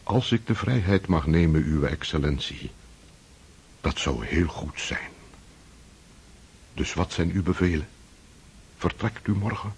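An actor playing a loyal, deferential old male servant, speaking slowly and humbly. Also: low-pitched, animated, a little mellow, slightly rough, exaggerated voice. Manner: respectful, slow, humble. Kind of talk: radio drama acting.

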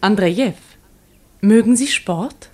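A woman's voice: medium pitch, takes it slowly, mellow. An actress playing a playful, eager young daughter of a governor, with a teasing, girlish manner in a radio play. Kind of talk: German radio play acting.

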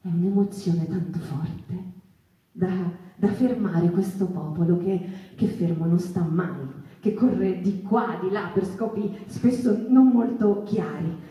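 An actress performing a wistful, impassioned female storyteller in a stage monologue, halting and repeating phrases, putting rhetorical questions to listeners. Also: on the low side, mellow. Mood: hushed wonder. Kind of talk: theatrical monologue, dramatic storytelling. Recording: echoey room, distant microphone.

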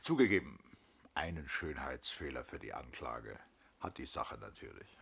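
A defence lawyer in a radio play, very low and raspy. He speaks deliberately, with animated dips and rises and a wry, sober candour. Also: heavily acted. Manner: deliberate, wry little chuckles, hemming. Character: male defence lawyer, sober, wryly candid, pessimistic. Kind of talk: German radio play acting.

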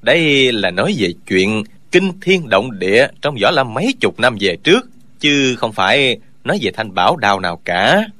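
A man's voice: medium pitch, big pitch swings, normal speed, sharp tone. A man playing an earnest young swordsman. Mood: lecturing. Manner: earnest, lecturing, sometimes mocking. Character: young swordsman, upright and calm. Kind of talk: audiobook character dialogue.

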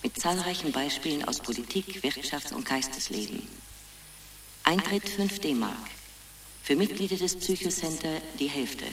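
A woman's voice: low-pitched, lively intonation, unhurried, a little hiss or hum. Woman a formal pa announcer, far off and echoey, radio play. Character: formal public-address announcer at a therapy centre. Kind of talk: loudspeaker announcement in radio drama. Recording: echoing distant public-address sound, music behind.

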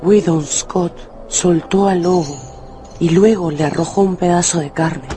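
A man's voice: sits high, a little slow, over music. A man narrating a radio drama as a dramatic storyteller with scripted prose.

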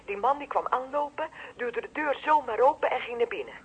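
A woman's voice: high voice, animated, fairly quick, breathy edge, exaggerated voice. An actress playing a watchful, deferential female neighbour in a radio play, hesitant yet insistent, with a Netherlandic Dutch accent, heard through a telephone filter. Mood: worried, insistent. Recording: muffled, band-limited telephone line.

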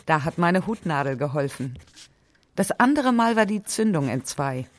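A woman's voice: low voice, sing-song, moderate pace. A woman voicing a resolute pioneer's wife and mother as she reads out her letters to her husband, plain and matter-of-fact, in an audio documentary. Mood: composed, matter-of-fact. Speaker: voice actor reading letters.

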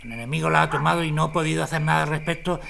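An actor playing a defiant, embittered army colonel in a historical audio drama, formal and insistent. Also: male voice, a little high, rapid-fire, gravelly, fairly bright tone. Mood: defensive, bitter. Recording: noisy background.

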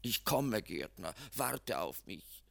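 An actor playing a lonely, slightly mad old gardener who broods and mutters to himself in a radio play. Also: male voice, sing-song, a bit bright, exaggerated voice. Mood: wistful. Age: older. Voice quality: gravelly, very low. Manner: slow, brooding, talking to himself.

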